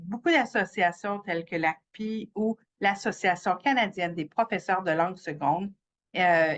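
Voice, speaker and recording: Woman presenting with halting fillers. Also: fairly low pitch, a bit bright. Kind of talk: informational presentation.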